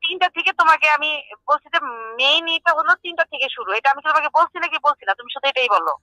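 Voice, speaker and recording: Middle-aged woman, Bangladeshi accent, phone call. Sharp, forceful high voice, agitated and insistent, repeats points. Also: racing, no room sound. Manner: insistent, repetitive. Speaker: prank call recipient. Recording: voice heard over a phone speaker.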